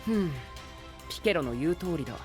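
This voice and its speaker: A man's voice: on the high side, lively intonation, fairly quick, faint music behind, close to an everyday voice. An actor playing a suave, flamboyant, princely young male knight who drops English exclamations, in an anime-style voice drama. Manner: theatrical, sprinkles English exclamations into speech.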